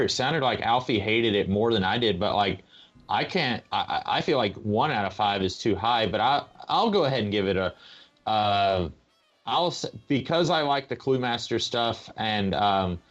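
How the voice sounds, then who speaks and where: A man chatting casually as a panelist on a comics podcast, hesitant and halting in how he talks. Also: medium pitch, animated, a bit bright, muffled recording. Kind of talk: casual comic book discussion.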